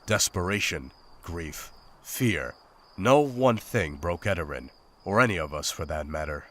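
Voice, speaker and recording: A grim monster hunter , acted by a man, speaks in a very low register. He is solemn and formal, measured in his storytelling, and sounds weighed down by war and guilt, as in a dramatic visual novel. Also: animated, a bit bright, heavily acted. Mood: somber. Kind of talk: visual novel voice acting, dramatic journal narration and dialogue.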